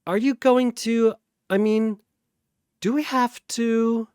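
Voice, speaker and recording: Man doing a high, timid young soldier girl. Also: steady tone, takes it slowly, darkish tone, no echo, studio quality. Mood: hesitant. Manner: hesitant, breathy questions.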